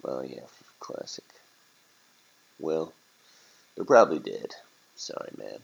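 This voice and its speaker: Jaded street rat for an animal tale, male performer: slangy, blunt, friendly, creaky voice, slightly dull recording. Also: a bass voice, expressive, takes it slowly, pitched below the performer's own voice, full character voice. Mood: shrugging, friendly. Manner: slangy, casual, drawling "man" and "brah".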